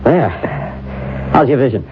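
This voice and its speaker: A man's voice: mid-range voice, expressive, measured pace, close to an everyday voice. An actor playing an easygoing male makeup artist in a 1970s radio drama, chatty and muttering to himself as he works. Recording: thin phone-like old radio with hiss.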